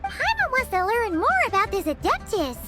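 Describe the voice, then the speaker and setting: A woman's voice: extremely high pitch, wildly swooping pitch, normal speed, heavily acted. A woman voicing a small, perky, boastful fairy companion in a video game, who talks about herself in the third person. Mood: eager. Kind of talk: animated video game voice acting. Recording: music behind the voice.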